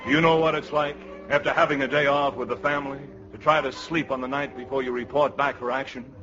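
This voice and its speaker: An actor playing a solemn, pompous narrator in a parody of a war film, grave and grandiose, in 1950s radio style. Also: male voice, mid-range voice, fairly even intonation, quick pace, lightly acted. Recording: dull 1950s radio recording, music behind.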